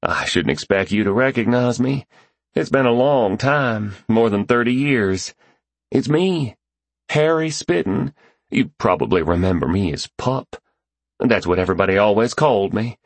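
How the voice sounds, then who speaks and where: Man doing a humble, husky Southern drawler. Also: fairly low pitch, expressive, normal speed, dry recording, pitched above the performer's own voice. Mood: hopeful, earnest. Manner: drawling, tentative, earnest. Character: smallish, humble, friendly southern man, an old acquaintance.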